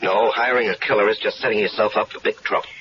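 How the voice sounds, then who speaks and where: A man's voice: fairly low pitch, fairly even intonation, quick pace, close to an everyday voice. A man voicing a wary, streetwise man who is skeptical about a murder plot, in a 1970s radio drama. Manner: wry, skeptical. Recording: dull, faintly hissy 1970s radio recording.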